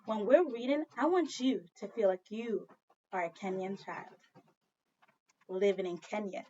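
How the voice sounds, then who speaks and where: A woman's voice: mid-range voice, a little slow, a little mellow, muffled recording, a little hiss or hum. A woman teaching second grade, reading a story aloud expressively and talking directly to young children with questions and repetition. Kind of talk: children's read-aloud lesson.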